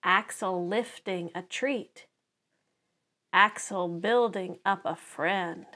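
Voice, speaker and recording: A middle-aged woman reading a children's picture book aloud to preschoolers in an expressive, deliberate storytelling manner. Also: on the low side. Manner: animated, deliberate storytelling with dramatized sound words.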